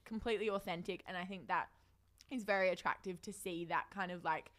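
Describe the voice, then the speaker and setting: A woman's voice: lowish voice, fairly quick, a bit bright. A woman with an Australian accent co-hosting a podcast, speaking earnestly and thoughtfully. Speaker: podcast co-host. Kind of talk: casual podcast chat.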